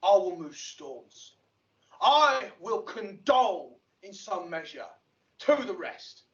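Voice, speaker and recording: An actor playing a conceited, eager weaver who declaims pompously in a Shakespeare audio drama. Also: male voice, high voice, a bit bright, full character voice. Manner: pompous, bombastic, theatrical declaiming. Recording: dull lo-fi audio drama playback, distant.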